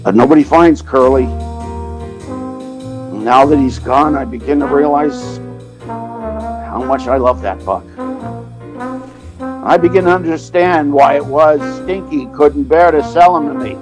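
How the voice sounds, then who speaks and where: A man playing an excitable, money-minded theatrical agent in an old-time radio drama, animated and stammering when flustered. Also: a little high, unhurried, pauses often, over music, low-quality recording. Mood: mournful. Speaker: narrator and lead character.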